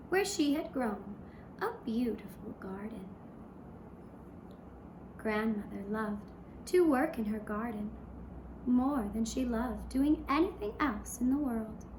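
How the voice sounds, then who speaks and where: A woman storyteller narrating a fairy tale for young children in a gentle, measured manner with short pausing phrases. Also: on the high side, expressive. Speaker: puppet show storyteller. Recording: roomy echo, clear background noise.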